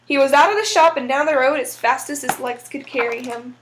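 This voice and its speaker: A woman's voice: high voice, brisk, a little hiss or hum, poor audio. A woman narrating a folktale aloud in a folksy, dramatic storytelling manner.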